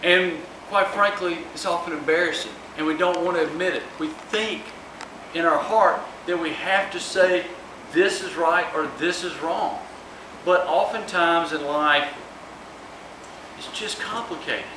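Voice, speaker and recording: A man's voice: on the high side, measured pace. A man giving a public speech as an author at a live book event. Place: room at a live event, distant microphone. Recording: echoey, noisy room recorded from far off.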